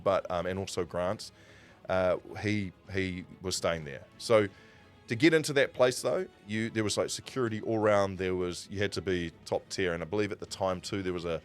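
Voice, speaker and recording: A man in his forties with a New Zealand accent, telling stories in a casual, rambling, conversational way on a live stream. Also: deep voice. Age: 40s. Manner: rambling, conversational, self-correcting. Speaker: streamer and broadcaster.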